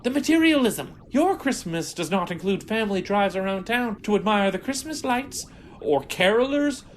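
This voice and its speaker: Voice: mid-range voice, animated, normal speed, lightly acted. An actor playing an indignant, grandiose Martian rebel villain in an audio drama, speechifying in a theatrical, pompous way. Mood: indignant, impassioned.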